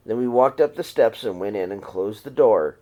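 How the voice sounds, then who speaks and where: A man reading a picture book aloud to young children in an expressive storytelling style. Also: on the low side, moderate pace.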